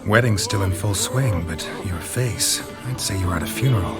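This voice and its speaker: A man voicing a gruff, deep monster hunter. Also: normal speed, over loud music, natural voice. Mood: wry. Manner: terse, dry, laconic. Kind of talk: game cutscene voice acting.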